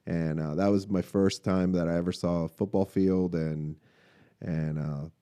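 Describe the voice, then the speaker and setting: A man from Cuba in his late forties, a restaurant owner telling his story as a guest, relaxed and hesitant with many fillers. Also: sits low, a little slow, clear, resonant tone. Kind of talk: interview answers, personal story. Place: podcast room in a restaurant.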